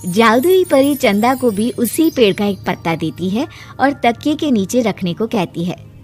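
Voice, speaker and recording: A woman's voice: on the high side, animated, a little slow, a little mellow. A woman reading scripted storybook narration for a cartoon moral tale. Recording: music behind the voice.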